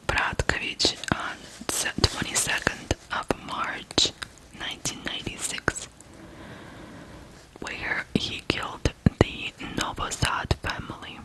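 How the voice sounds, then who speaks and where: An ASMR host from Ukraine telling a true crime story in a hushed, deliberate whisper. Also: poor audio.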